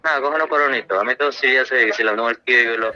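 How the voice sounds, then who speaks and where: A man's voice: mid-range voice, racing, pushed and bright. A man with a Bangladeshi accent chatting casually and insistently on a slightly dull, noisy phone call.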